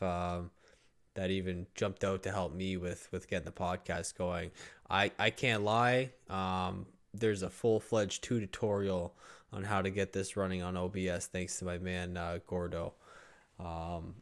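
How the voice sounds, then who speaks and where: A man hosting a podcast in a laid-back, casual way, using lots of fillers. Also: sits low. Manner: laid-back, filler-heavy, wrapping up the show. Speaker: podcast host.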